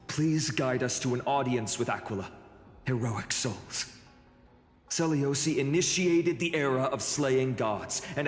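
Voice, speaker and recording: An actor playing a calm, polite young male warrior in a video game, speaking in a measured way. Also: medium pitch. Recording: roomy reverb, faint music.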